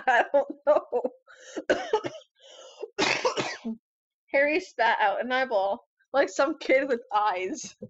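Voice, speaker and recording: A woman's voice: high-pitched, expressive, drawn-out words, pauses often, no room sound. A woman co-hosting a casual read-aloud of fan fiction, somewhat hesitant, on a dull lo-fi recording. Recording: dull, lo-fi, dry close sound.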